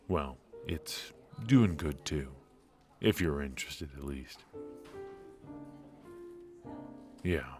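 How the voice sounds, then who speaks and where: A man playing a very deep-voiced charming wounded mobster. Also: heavily acted. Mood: teasing. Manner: teasing, playful and flirtatious. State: injured and a bit stiff. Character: charismatic wounded ex-mafia man, teasing. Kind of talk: ASMR roleplay.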